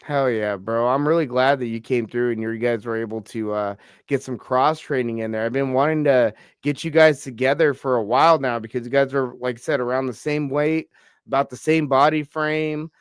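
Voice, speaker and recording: A man's voice: mid-range voice, normal speed, smooth, no echo, clean studio sound. A young man hosting a casual combat-sports podcast, a little stuffy from a cold, laid-back with lots of fillers and "bro". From Pacific Northwest, US. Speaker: podcast host. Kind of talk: casual interview chat.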